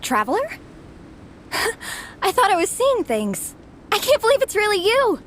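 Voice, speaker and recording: A woman's voice: extremely high pitch, wildly swooping pitch, measured pace, fairly bright tone, a little hiss or hum, full character voice. A woman playing a theatrical young female student in a video game, given to melodrama. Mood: surprised, delighted. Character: dramatic, theatrical young female student, comic book fan.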